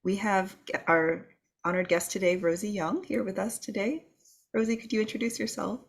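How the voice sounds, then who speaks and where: A woman hosting a podcast, speaking in a warm, encouraging way. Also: mid-range voice, normal speed, gravelly, husky. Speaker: podcast host. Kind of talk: podcast interview.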